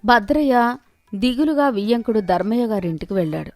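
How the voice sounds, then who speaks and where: A woman's voice: a little high, animated, a little fast, soft background music. A woman narrating a scripted moral story as its storyteller.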